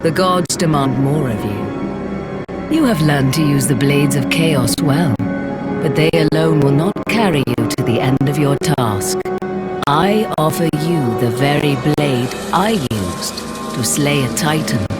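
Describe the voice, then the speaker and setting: A woman's voice: low-pitched, lively intonation, takes it slowly, over loud music. A woman voicing a solemn, commanding goddess in a video game cutscene, with a stately, grave manner. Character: solemn, commanding goddess of the hunt bestowing gifts.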